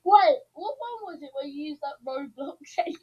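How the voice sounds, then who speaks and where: A woman's voice: sits high, lively intonation, moderate pace, lo-fi sound. A woman chatting casually as a co-commentator on an online show. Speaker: co-commentator and contestant. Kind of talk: casual chat.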